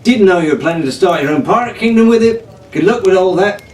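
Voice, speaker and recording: A man's voice: sits high, lively intonation, fast talker, darkish tone, distant mic, voice pushed up. A man voicing a cocky, flippant teenage boy pirate in a storybook reading, with a glib, sardonic, stumbling way of talking. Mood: flippant, mocking. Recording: echoey room from off-mic, faint noise.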